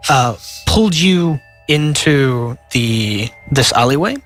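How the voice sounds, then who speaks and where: A young man with a nasal voice, narrating a tabletop game as game master, halting and filling pauses with "uh" and "like". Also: mid-range voice, animated, fairly bright tone, faint music behind. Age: young adult.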